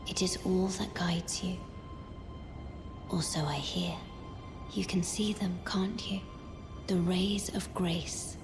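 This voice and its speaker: Casting: gentle mystical maiden for a fantasy game, low-pitched, breathy, solemn and measured, music underneath. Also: female voice. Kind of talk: dramatic fantasy game acting.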